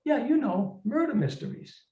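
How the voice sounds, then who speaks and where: A man's voice: extremely high pitch, sing-song, moderate pace, warm tone, voice pushed up. A man voicing a depressed, defensive married man of forty-two in a weary, complaining, colloquial way, for a short story reading. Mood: exasperated. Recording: roomy, slightly dull room recording.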